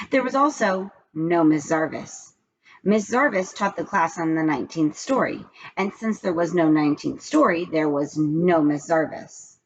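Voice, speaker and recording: Casting: woman storybook reader, lower-pitched and very varied in intonation, on a muffled recording. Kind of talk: expressive read-aloud of a children's book. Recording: dull lo-fi recording with background noise.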